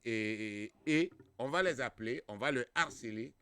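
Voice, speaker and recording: A man's voice: medium pitch, lively intonation, normal speed, a bit bright. A man hosting a radio talk show, speaking hesitantly with many fillers and often chiming in to agree.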